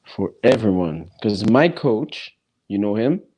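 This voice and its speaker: A man who speaks English as a non-native language, hosting a casual podcast chat and talking haltingly with many restarts. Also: fairly low pitch, lively intonation, darkish tone, husky. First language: neither English nor French.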